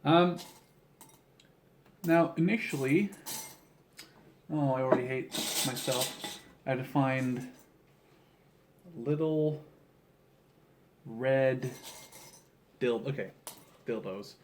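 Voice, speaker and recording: A man's voice: medium pitch, takes it slowly, long pauses, slight background noise. A man in his early twenties chatting casually in a rambling vlog style, informal and often interrupting himself with jokey asides. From Canada. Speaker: video creator building a toy model. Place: small room at home, seated at a table indoors. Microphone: camera on tripod about a metre or two away.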